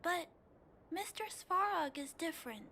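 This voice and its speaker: An actress playing a gentle, earnest young girl in a video game, speaking softly and politely. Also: extremely high pitch, animated, measured pace, slight background noise, exaggerated voice. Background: game music.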